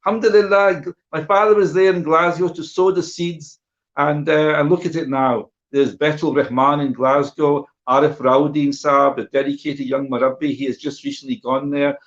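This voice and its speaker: A middle-aged man with a slightly high voice shares recollections in an informal online talk. He repeats phrases for emphasis and keeps checking in with listeners. The audio is dull and a little muffled. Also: fairly even intonation, normal speed. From born in Guyana. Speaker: guest speaker sharing memories of his father. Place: live online video call.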